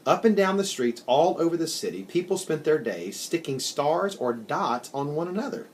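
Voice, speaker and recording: A man reading a children's story aloud in an expressive, deliberate storytelling manner. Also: fairly high pitch. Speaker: story reader. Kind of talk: scripted children's storybook narration.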